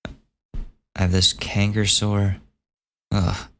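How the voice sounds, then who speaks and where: A man hosting a solo podcast, losing his voice and hoarse, casually reading out gossip items and swearing as he thinks aloud. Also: fairly low pitch, monotone, drawn-out words, fairly bright tone, a little hiss or hum. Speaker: podcast host.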